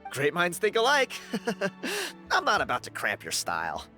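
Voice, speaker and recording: Animated, friendly young adventurer. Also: male voice, high-pitched, measured pace, soft background music, full character voice. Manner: animated, colloquial. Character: friendly, eager young male adventurer, talkative and grateful. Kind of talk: video game voice acting.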